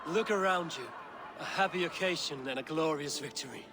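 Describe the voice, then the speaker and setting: A man playing a steadfast, dry-witted Viking warrior in a video game cutscene, speaking in a measured, teasing way, with outdoor noise behind. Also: fairly high pitch, natural voice. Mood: wry, hearty.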